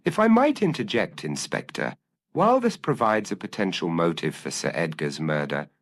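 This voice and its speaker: Man acting a formal, deliberate doctor, deep. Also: big pitch swings. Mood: reasoned. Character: measured, reasoning male doctor.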